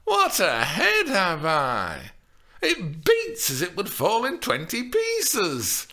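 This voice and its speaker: A woman's voice: medium pitch, wildly swooping pitch, bright, forceful tone, pitched above the performer's own voice, exaggerated voice. A man doing a groaning Lancashire old nurse. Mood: teasing complaint. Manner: moaning, drawn-out, theatrically complaining. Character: older woman acting as a teasing, groaning old nurse.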